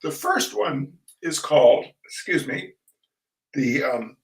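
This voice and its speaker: An older man hosting a reading of humorous essays, chatting casually and reading aloud in a wry, theatrical, halting manner. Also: a little high, expressive, creaky. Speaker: host of a weekly humor reading. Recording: roomy echo, distant microphone.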